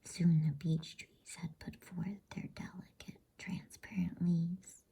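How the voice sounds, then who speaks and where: A woman reading softly in a breathy whisper, in a soothing, unhurried ASMR bedtime story style. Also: on the low side, fairly even intonation. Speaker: host and storybook reader.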